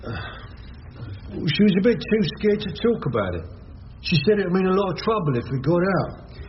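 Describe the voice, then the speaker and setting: Flippant, truculent man in the dock, fairly high voice, muffled noisy radio sound. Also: very animated, brisk. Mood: evasive. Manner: defensive, cocky, colloquial. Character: truculent, flippant, defensive male giant in shiny suit. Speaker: defendant giving evidence. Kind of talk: radio courtroom drama. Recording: dull lo-fi radio recording, noisy.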